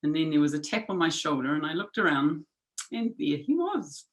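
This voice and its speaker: A woman's voice: deep voice, sing-song, normal speed. Fifties New Zealand woman telling anecdotes. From South Island of New Zealand. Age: 50s. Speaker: interview guest. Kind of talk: storytelling in a podcast interview.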